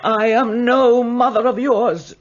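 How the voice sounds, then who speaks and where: A cold, unforgiving older mother in a radio play. She speaks slowly and sternly, in a very high and husky voice. The sound is dull and lo-fi. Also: fairly even intonation, exaggerated voice. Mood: icy. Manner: stern, icy, formal.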